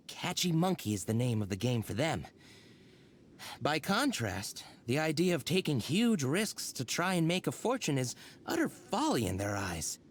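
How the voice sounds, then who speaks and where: Sly young informant, coy and evasive, swingy lively voice, light game music. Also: male voice, a little high. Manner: coy, evasive, then matter-of-fact. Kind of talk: video game voice acting.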